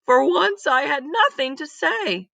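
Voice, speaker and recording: Woman reading as an eager, bright-voiced girl detective. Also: high voice, expressive, unhurried, gravelly, no room sound, voice pushed up, full character voice. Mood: excited, amazed. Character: eager, determined young girl detective narrating her mystery.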